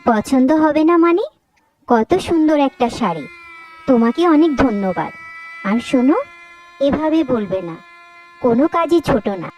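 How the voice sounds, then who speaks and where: A woman's voice: sits high, lively intonation, moderate pace, long pauses, dull audio, faint music behind. A woman playing an affectionate, supportive young wife in a cartoon story, sweet and chatty. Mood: sweet, pleased. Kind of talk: Bengali cartoon story dialogue.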